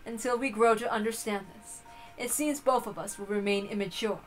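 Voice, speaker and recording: A woman's voice: medium pitch, brisk, voice pushed down, natural voice, rough recording. A woman voicing a haughty elder-sister witch with an arrogant, formal way of speaking in a visual novel reading. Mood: formal, self-assured. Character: haughty, self-proclaimed elder-sister golden witch, female.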